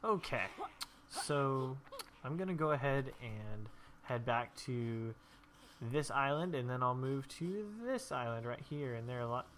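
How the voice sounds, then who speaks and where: A young man with a congested, stuffy voice narrates his gameplay in a loose, rambling way. His pitch is average for a man, and his talk drifts easily into personal stories. A faint hiss of background noise sits under the recording. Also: animated, unhurried, a bit bright. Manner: rambling, chatty storytelling while playing. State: stuffy from allergies. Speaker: gameplay video host. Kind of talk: casual gameplay commentary.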